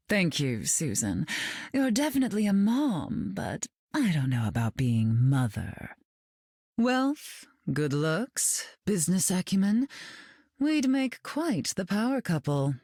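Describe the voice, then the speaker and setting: A glamorous mutant queen for a video game. Her voice is low and swoops freely with arch, drawling condescension. She flirts and cuts in the same breath, captured close and dry. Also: very animated, slow, darkish tone, husky, dry recording, exaggerated voice. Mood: flirtatious, smug.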